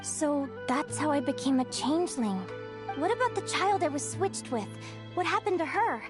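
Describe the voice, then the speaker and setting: An actress playing a sweet, perceptive young changeling girl, a younger sister in a fantasy video game, with an earnest, gentle delivery. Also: sits high, animated, normal speed. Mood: curious. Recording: music under the voice. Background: background music.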